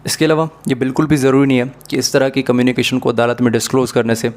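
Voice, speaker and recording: A man's voice: medium pitch, fairly even intonation, fast talker, a little mellow. Pakistani man giving a methodical lesson. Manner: didactic, methodical. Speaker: law lecturer. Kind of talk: explanatory lesson mixing Urdu and English.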